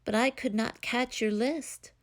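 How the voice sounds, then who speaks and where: A woman's voice: fairly high pitch, fairly even intonation, a little slow, pitched above the performer's own voice, natural voice. A woman voicing a kindly, helpful male frog friend in a children's storybook, in an encouraging manner. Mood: apologetic.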